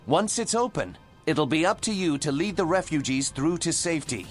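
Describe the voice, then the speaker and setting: Guy playing a heroic fantasy prince, higher male voice, formal and commanding, music behind him. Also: expressive, normal speed, natural voice. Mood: earnest, resolute. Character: noble, earnest human warrior prince leading refugees. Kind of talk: video game cutscene acting.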